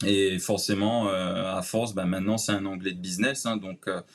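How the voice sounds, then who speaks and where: A man's voice: sits low, fairly even intonation, normal speed. A man from Réunion with a Réunionese accent, chatting casually as a guest and filling his sentences with hesitant fillers. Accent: Réunionese French. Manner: hesitant, many "euh" fillers, creole-tinged phrasing. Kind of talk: casual interview chat.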